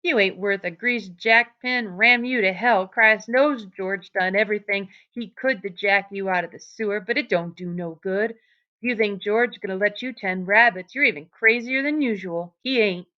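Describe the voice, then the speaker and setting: A woman's voice: mid-range voice, normal speed, muffled recording, close to an everyday voice. A woman reading aloud, voicing a gigantic sneering rabbit with a taunting rural drawl. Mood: scornful.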